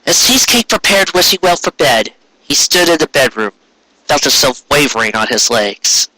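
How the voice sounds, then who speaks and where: A man reading a story aloud as an amateur narrator, in a dramatic, measured way with pauses. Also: fairly high pitch, sing-song, pushed and bright, poor audio. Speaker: amateur audiobook reader.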